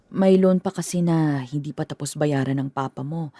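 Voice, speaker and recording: A woman playing a husky-voiced worried mother. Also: low-pitched, mellow, dry recording, studio quality. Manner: hesitant, halting. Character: worried middle-aged mother, hesitant. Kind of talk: radio drama acting.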